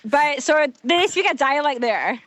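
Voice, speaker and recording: A woman's voice: sits high, lively intonation, measured pace, a bit bright, no echo. A young Scottish woman calling in to a radio show, bubbly and laughing as she chats. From Scotland. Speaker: caller. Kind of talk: casual chat on a radio phone-in.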